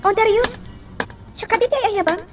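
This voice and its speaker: A woman's voice: very high-pitched, moderate pace, distant mic, loud music behind the voice. A woman acting as an emotional, girlish schoolgirl in an Ilocano radio drama. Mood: chatty, probing.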